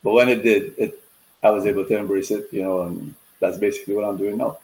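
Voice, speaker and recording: A middle-aged man from Trinidad, a business consultant chatting as a guest on a video call in a relaxed, conversational way. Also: on the low side, fast talker, rough recording. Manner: relaxed and conversational, many "you know" fillers. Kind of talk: casual interview chat over video call.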